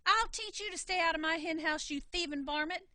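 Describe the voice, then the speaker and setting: A woman voicing a fierce, excitable country widow in a storybook, loud, exclamatory and folksy. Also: very high-pitched, a little fast, no echo, clean studio sound, voice pushed up. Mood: angry, threatening.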